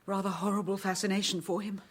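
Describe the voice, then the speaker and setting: An actress playing a composed, resolute leading lady and widow in a radio drama, speaking formally and deliberately. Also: mid-range voice, little pitch movement, breathy edge, close to an everyday voice. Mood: grave, composed.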